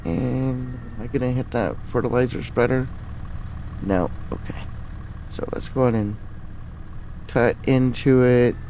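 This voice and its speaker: A man's voice: medium pitch, fairly even intonation, unhurried, pauses often, warm tone, a little hiss or hum. A man hosting a gaming video, giving casual commentary and thinking aloud through each step. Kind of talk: casual gameplay commentary with roleplay.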